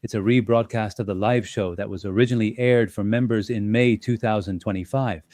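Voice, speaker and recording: A man hosting an investing channel, talking in a gentle, reassuring and slightly apologetic way to his members, with small fillers as he thinks aloud. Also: fairly low pitch, fairly even intonation, brisk, no room sound. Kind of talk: casual live-stream talk to members.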